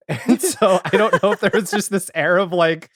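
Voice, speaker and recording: Higher-pitched man, rambling podcast storyteller. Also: normal speed, a bit bright, no echo, clean studio sound. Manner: rambling, self-quoting storytelling. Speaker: podcast guest, audio fiction creator and editor. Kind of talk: casual podcast chat.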